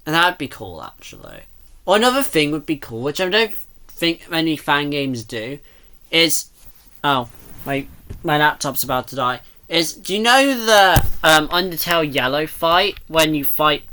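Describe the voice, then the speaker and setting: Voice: medium pitch, sing-song, unhurried, pushed and bright. A person giving casual, rambling reaction commentary, thinking aloud and speculating as the host.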